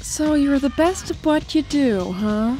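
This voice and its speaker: A woman voicing a weary, sardonic guardian of a child in an animated comic dub, dry and exasperated. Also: sits high, unhurried. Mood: sarcastic. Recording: music behind the voice.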